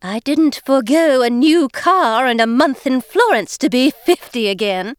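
A woman's voice: high voice, lively intonation, a little slow, smooth, no room sound, pitched above the performer's own voice. A woman reading aloud, voicing a chirpy woman in her fifties who is an American faking a British accent and overpronouncing her words. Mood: urgent. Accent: American trying to sound British. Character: gushing fiftyish woman faking British airs.

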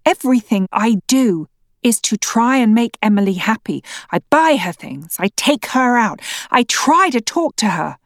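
A woman voicing a worn-out, angry father and husband in a family argument, shouting and pleading. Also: a little high, big pitch swings, measured pace, dry recording, studio quality. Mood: furious. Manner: raised, pleading, exasperated. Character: angry exhausted middle-aged stepfather and husband.

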